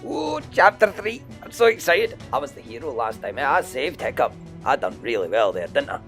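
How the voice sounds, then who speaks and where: Man acting an excitable, boastful simple warrior, animated pitch. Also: moderate pace, faint music behind. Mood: excited, boastful.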